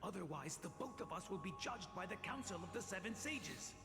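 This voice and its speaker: A man's voice: sits high, animated, fairly quick. An actor playing a stern but caring older male teacher in a video game, earnest and admonishing. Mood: alarmed, urgent. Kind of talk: theatrical video game voice acting. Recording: echoey long reverb, music behind.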